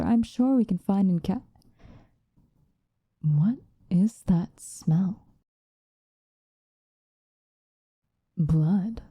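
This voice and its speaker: Woman acting a dark, breathy, obsessive vampire woman. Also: fairly low pitch, no echo, studio quality. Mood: shocked, grieving. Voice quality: soft, breathy, often whispered. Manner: intense, dramatic, slow with long pauses. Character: five-hundred-year-old possessive, vengeful female vampire lover. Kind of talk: ASMR roleplay monologue.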